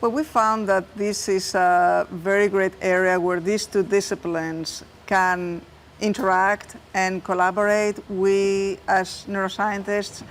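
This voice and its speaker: A woman neuroscientist answering questions in a TV interview in English, measured and explanatory, with some fillers. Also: medium pitch, level tone. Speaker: neuroscientist guest. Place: TV studio.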